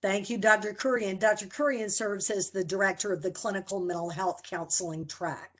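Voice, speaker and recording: A woman, the program coordinator, introducing faculty members one by one on a video call in a measured, formal way. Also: mid-range voice, steady tone. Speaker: program coordinator hosting orientation.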